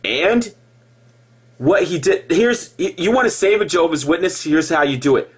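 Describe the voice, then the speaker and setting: Man, impassioned monologue, husky, very lively swooping intonation, halting and repetitive. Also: on the high side. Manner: halting, repetitive, with emphatic outbursts. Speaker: former Jehovah's Witness video blogger. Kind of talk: impassioned personal monologue to viewers.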